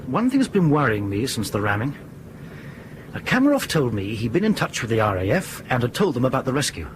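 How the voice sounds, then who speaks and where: Brisk, impatient airline captain played by an actor. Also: male voice, mid-range voice, big pitch swings, noisy background, close to an everyday voice. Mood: concerned. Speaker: actor in a radio drama. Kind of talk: radio drama acting.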